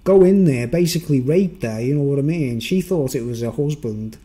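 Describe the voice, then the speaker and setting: A man with a Merseyside accent talks off the cuff to his viewers. His voice is middling to slightly high and soft-edged, with little brightness. He wanders from point to point in an informal way, often checking that listeners follow. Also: lively intonation, normal speed. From Wirral, north-west England. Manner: informal, digressive, seeks agreement with tag questions. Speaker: vlogger. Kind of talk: rambling, unscripted monologue to viewers.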